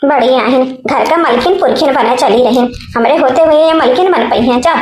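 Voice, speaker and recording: A woman's voice: sits high, normal speed, darkish tone, slight background noise, close to an everyday voice. A woman voicing a scheming, domineering younger co-wife in a village cartoon comedy, scolding in an eastern Uttar Pradesh accent. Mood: gloating, contemptuous. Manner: bossy, scolding, repeats commands. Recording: echoey room sound.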